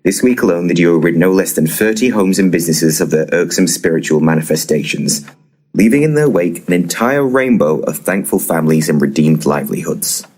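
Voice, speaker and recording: Deep, grumpy, boozy ghost hunter. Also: male voice, expressive, brisk, darkish tone, husky. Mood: pompous. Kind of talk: full-cast comic audio drama.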